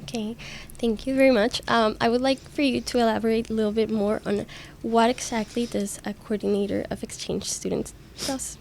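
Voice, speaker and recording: A woman's voice: fairly high pitch, steady tone, normal speed, creaky, darkish tone, a little hiss or hum. A woman interviewing a guest, asking polite and formally worded questions. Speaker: student interviewer.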